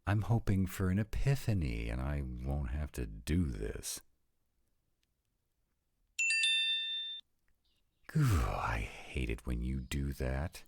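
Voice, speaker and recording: A very deep, dark-toned male vampire, acted by a man for a roleplay audio drama. He is sarcastic and grudgingly devoted, with lively swings in his low voice and a dry, reluctant delivery. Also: unhurried, gravelly, exaggerated voice. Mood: reluctant, stalling. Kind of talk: scripted roleplay audio drama, one side of a conversation.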